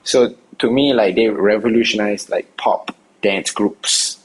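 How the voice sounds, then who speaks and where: A young man from Singapore talks through interview questions in a loose, meandering way, circling back on his words. His voice is on the low side and a little husky. He is recorded outdoors. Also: measured pace, poor audio. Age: young adult. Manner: rambling, many fillers and restarts. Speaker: interview guest, rapper. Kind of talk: casual interview answers.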